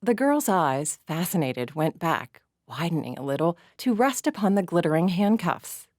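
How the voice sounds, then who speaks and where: A woman reads a classic short story aloud in a measured, expressive way. Her voice sits a little low, and the recording is close and completely dry. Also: no echo. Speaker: narrator. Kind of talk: scripted storybook narration.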